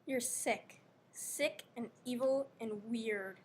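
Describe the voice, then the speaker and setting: Woman as a stubborn, defiant girl, higher voice. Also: slow. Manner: blunt, stubborn. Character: defiant young girl resisting a false mother. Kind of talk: readers theater performance. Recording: faint background noise, live ambience.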